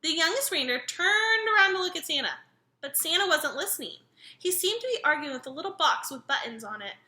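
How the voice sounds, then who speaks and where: A woman's voice: very high-pitched, big pitch swings, fairly quick, bright, forceful tone, echoey room. A woman reading a children's picture book aloud expressively, engaging her young listeners as she goes. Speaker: read-aloud storyteller.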